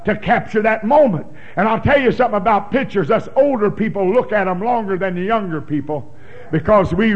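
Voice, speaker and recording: A man preaching a sermon, reading scripture in a big booming voice and breaking into fervent shouts. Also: lo-fi sound. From Oklahoma. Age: 60s. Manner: fervent, emphatic pulpit delivery with sudden shouts.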